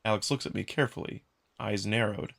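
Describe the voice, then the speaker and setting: Man, story narrator, creaky edge, bright forceful tone, medium pitch, dramatic first-person reading. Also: fairly even intonation, fairly quick. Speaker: narrator and voice actor.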